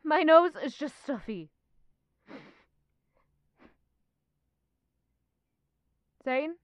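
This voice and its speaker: A woman's voice: high voice, animated, unhurried, no echo. An actress in an audio drama playing a guarded, evasive young noblewoman who claims to be unwell, answering reluctantly. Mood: weary.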